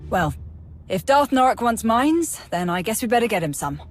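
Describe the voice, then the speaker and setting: A woman's voice: on the high side, very animated, normal speed. A woman playing a capable, plain-spoken army major in a video game, matter-of-fact and a little sardonic. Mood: wry. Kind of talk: video game cutscene acting. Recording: music behind the voice.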